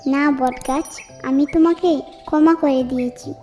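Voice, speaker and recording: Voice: high voice, fairly even intonation, a little slow, darkish tone, soft background music. A performer voicing kindly, polite talking nature characters, a queen bee and a mango tree, in a children's fairy tale cartoon. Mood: gracious.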